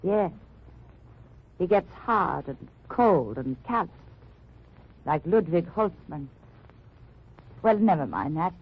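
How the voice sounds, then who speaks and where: An actress playing a secretive, weary wife of a German underground fighter, speaking carefully and formally in old radio drama style. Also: female voice, on the low side, very animated, slow pace, pauses often, breathy edge, exaggerated voice. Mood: bitter, resigned. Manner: careful, formal, earnest phrasing. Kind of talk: 1940s-50s radio drama acting. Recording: muffled, noisy old-time radio recording.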